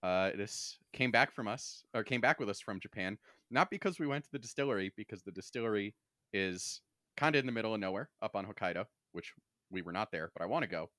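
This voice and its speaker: A man's voice: fairly low pitch, animated, fast, a bit bright, no room sound, studio quality. A man hosting a podcast in casual, wry banter, joking and swearing freely as he talks. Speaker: podcast host.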